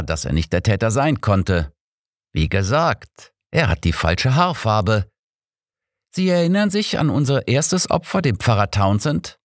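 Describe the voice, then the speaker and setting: A man voicing a shrewd, unflappable old detective in an audiobook, measured, deliberate and courteous. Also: fairly low pitch, no room sound, studio quality, voice pushed up.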